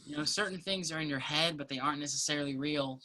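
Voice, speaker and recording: An actor playing a charming, earnest college soccer player in a virtual reading of a stage play. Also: male voice, a little high, fast talker, bright, forceful tone, slight background noise. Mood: earnest, pleading. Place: remote virtual reading over video call.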